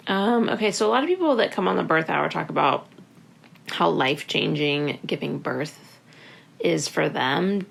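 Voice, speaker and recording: A woman in her thirties hosting a podcast, asking relaxed, conversational interview questions with fillers. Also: sits low, vocal fry. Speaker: podcast host.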